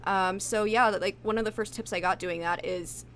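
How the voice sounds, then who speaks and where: A woman's voice: mid-range voice, steady tone, normal speed, a little hiss or hum. A woman speaking as a voice actress in a casual interview, agreeable and supportive in her manner.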